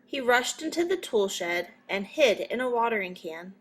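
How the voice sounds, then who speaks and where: A woman reading a children's story as a narrator, in a measured but expressive storytelling manner. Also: a little high, slight background noise. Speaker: storybook reader.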